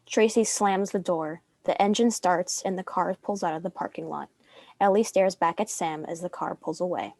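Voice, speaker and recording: A woman's voice: lowish voice, moderate pace, vocal fry, darkish tone, no echo. A woman reading stage directions as narrator of a scripted drama.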